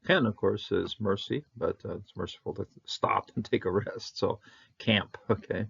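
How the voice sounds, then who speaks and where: Male Hebrew teacher, low gravelly voice, halting delivery, lo-fi sound. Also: fairly even intonation, fairly bright tone. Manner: halting, many fillers, repeats, bursts on Hebrew words. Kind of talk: unscripted verse-by-verse Hebrew lesson.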